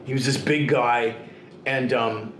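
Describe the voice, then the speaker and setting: A man's voice: medium pitch, fairly even intonation, takes it slowly, reverberant, noisy background. A man in his forties telling stories in casual, profane conversation, often acting out quoted voices, recorded in a living room. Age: 40s. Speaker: interview guest, artist. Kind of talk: casual storytelling. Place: living room with leather couch.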